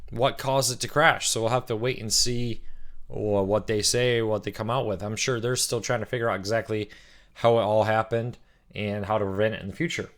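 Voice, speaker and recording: Man hosting a live Q&A, slightly rough voice. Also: on the low side, steady tone, a little fast. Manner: chatty, reads out viewer comments and greets them by name. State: voice a little rough from cheering. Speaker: host of a live Q&A stream. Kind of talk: casual live-stream Q&A.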